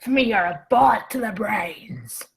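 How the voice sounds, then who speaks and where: A woman playing a scheming, menacing one-legged male pirate in a radio drama, with a creaky voice. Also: mid-range voice, expressive, measured pace, slight background noise. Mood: menacing, coaxing.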